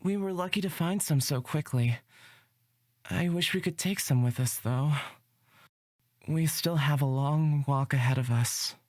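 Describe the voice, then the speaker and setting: An actor playing a gentle, optimistic young man in a fantasy visual novel, soft, hesitant and reassuring. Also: fairly high pitch, unhurried, dry recording, clean studio sound, natural voice.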